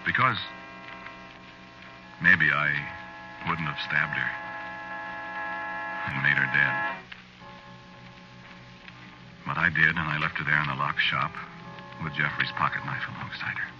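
An actor playing a meek, guilt-ridden husband confessing his crimes in a halting, brooding way, in 1940s radio drama style. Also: extremely low pitch, steady tone, over music. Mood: haunted. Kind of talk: 1940s radio drama acting, first-person narration. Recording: muffled, hissy old radio recording.

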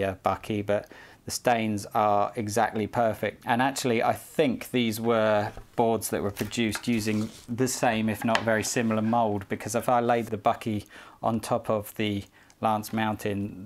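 A British man in his forties speaks in a fairly low voice. He talks casually to the camera, presenting an item like a hobbyist vlogger in a small room at home. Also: slight background noise. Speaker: skateboard collector vlogger. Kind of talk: casual talk to camera, showing a product.

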